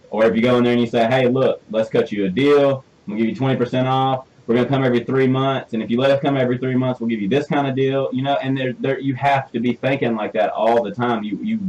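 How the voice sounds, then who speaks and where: A young man in his twenties with a Southern US twang hosts a casual interview show. He talks quickly and chats in an animated way, often restarting his sentences. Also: medium pitch, poor audio. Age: 20s. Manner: chatty, animated, thinks aloud with restarts. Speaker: host.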